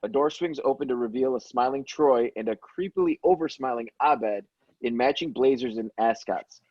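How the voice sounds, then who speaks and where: A man's voice: medium pitch, moderate pace, a little mellow. A man reading screenplay stage directions aloud as the narrator of an amateur table read. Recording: dull, roomy home audio.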